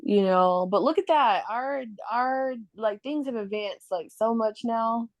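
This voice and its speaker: A woman's voice: on the high side, unhurried, no room sound. A woman in her thirties, a podcast guest chatting casually and laughing often as she tells stories. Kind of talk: casual chat.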